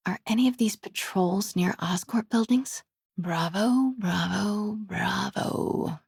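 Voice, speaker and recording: A woman playing a wry, curious, quick-witted young woman in a video game, muttering to herself as she sneaks around, with a breathy voice. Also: lowish voice, no echo, studio quality. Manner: wry, hushed self-talk.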